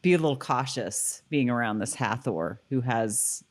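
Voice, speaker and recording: A woman's voice: extremely low pitch, animated, moderate pace. Giggly woman, casual podcast co-host. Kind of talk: casual chat recapping a TV episode.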